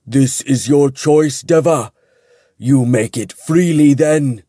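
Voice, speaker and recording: A man reading fantasy fiction aloud, voicing a formal, ominous male angel with slow, thunderous words. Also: medium pitch, mellow, no echo, studio quality, pitched above the performer's own voice. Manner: slow, grave, formal intoning.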